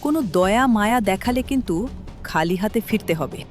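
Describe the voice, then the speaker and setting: নারীর কণ্ঠে নিষ্ঠুর, লোভী, কুচক্রী বড় বউ. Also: female voice, mid-range voice, lively intonation, fairly quick, natural voice. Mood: scheming. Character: cold, greedy, scheming wife of elder son. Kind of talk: melodramatic cartoon dubbing. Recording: music behind the voice.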